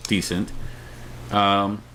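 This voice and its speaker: A man's voice: lowish voice, level tone, drawn-out words, sharp tone. Man chatting casually over noticeable background noise. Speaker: co-host of a pop culture discussion show. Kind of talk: casual opinionated chat.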